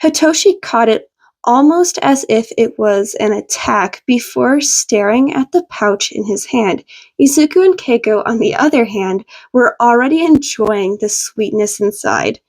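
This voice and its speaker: A woman's voice: fairly high pitch, expressive, measured pace, a little mellow. A woman narrating a story from a script. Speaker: podfic narrator.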